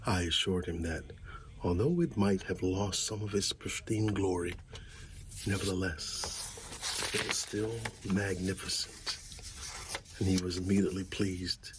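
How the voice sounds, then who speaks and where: Male ASMR performer, low and unhurried, playing a supportive friend in a hushed half-whisper, faint ambient noise behind. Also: steady tone. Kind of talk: soft ASMR roleplay, reading aloud and comforting a friend.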